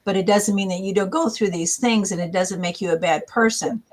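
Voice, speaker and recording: A woman podcast co-host and coach speaking in a calm, measured way, with supportive reflections. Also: mid-range voice. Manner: calm and measured, talks in affirmations. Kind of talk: casual chat.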